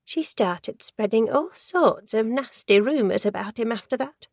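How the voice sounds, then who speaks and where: A woman's voice: fairly high pitch, lively intonation, a little slow, gravelly, no echo, clean studio sound, voice pushed up, heavily acted. Woman voicing a chatty, gossipy older office lady. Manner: chatty, rambling, easily sidetracked.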